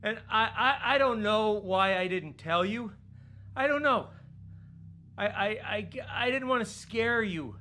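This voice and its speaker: Casting: volatile, desperate dying uncle, middle-aged, forceful tone, pleading and swearing, game film drama. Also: male voice, high-pitched, a little slow, a little hiss or hum. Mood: flustered, apologetic. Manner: agitated, pleading, stammering, swearing. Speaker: actor in interactive mystery film. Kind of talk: naturalistic film drama acting.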